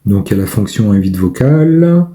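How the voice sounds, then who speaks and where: A man giving casual product commentary, thinking aloud with hesitant pauses. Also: lowish voice, big pitch swings, mellow. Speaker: amateur video maker reviewing a purchase.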